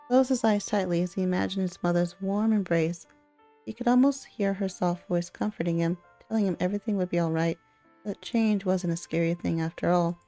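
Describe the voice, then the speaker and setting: A woman's voice: medium pitch, warm tone. A woman hosting a let's play, reading a visual novel aloud and reacting casually. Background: faint music.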